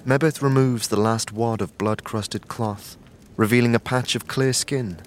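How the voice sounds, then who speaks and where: A man narrating an audio drama in the second person, measured and descriptive. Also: medium pitch, fairly even intonation, a little hiss or hum.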